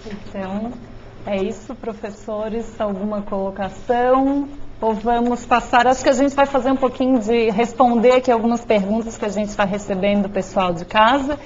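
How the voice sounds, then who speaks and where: Woman from the south running the panel, highish voice, casual and friendly, lo-fi dull sound. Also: steady tone, moderate pace, darkish tone, slight background noise. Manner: informal, uses "tu". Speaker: moderator. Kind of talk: moderating a seminar panel.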